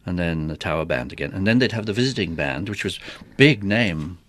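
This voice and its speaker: A man's voice: sits low, lively intonation, a little fast, fairly bright tone, no room sound. An older man from northern England, chatting in anecdotes as he reminisces as an interview guest. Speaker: interview guest, theatre organist.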